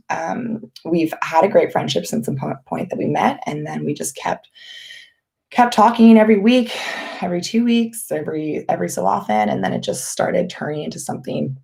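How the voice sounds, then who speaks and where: A young woman from Canada with a raspy voice, chatting casually on a live stream as she answers viewer questions, with many ums and restarts. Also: low-pitched, a little mellow. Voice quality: raspy, gravelly voice. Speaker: vlogger hosting a live Q&A.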